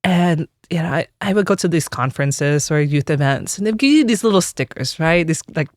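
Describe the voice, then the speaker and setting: A woman's voice: low-pitched, expressive, fairly quick, no echo, studio quality. Earnest, reflective woman in her forties. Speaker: religious sister being interviewed. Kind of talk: personal storytelling in an interview.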